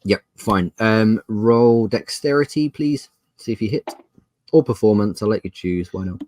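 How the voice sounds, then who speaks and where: A man's voice: fairly low pitch, a little slow, a little mellow. A man running a tabletop fantasy game as game master, improvising narration and giving players instructions. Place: dry room on an online video call.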